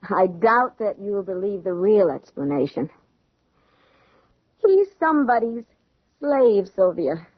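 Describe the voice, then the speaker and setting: A woman's voice: medium pitch, big pitch swings, takes it slowly, pauses often, dry recording. An actress playing a proud, emotional young woman in a radio drama, indignant and theatrical. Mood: bitter, weary. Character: proud, defiant, distressed young engaged woman. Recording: dull vintage radio recording.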